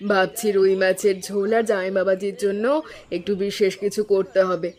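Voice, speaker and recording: A woman's voice: medium pitch, flat delivery, fairly quick, a little mellow, close to an everyday voice. A woman playing a caring, middle-aged mother in a cartoon, eagerly preparing for a family visit. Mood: warm, planning. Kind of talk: cartoon voice acting.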